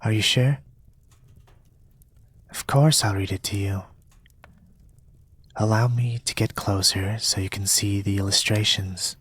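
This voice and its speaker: A young man reading a storybook in a soft-spoken, partly whispered ASMR style, with a gentle, measured delivery. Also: lowish voice, fairly even intonation, slightly rough, no echo. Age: young adult. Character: polite soft-spoken young male reader, quietly eerie. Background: soft music.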